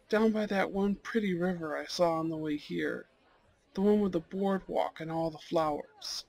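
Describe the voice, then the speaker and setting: Male actor as young Goth blind date: higher register, slow delivery, sincere, hesitant, intimate ASMR roleplay. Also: low-quality recording. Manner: soft-hearted, hesitant, sincere.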